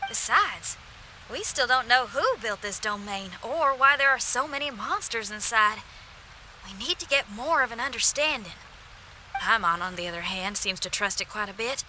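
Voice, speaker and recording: A woman playing a confident, spirited young adventurer in a video game, with a casual, frank and expressive delivery. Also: fairly high pitch, normal speed, background noise, natural voice. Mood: wary.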